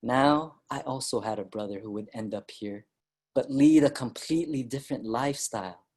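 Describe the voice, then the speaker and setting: A woman's voice: very deep voice, moderate pace, dark tone, husky. A woman playing an earnest young Filipino immigrant writer who recalls his boyhood in a dramatic staged reading. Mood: reflective. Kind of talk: staged reading, storytelling narration and dialogue.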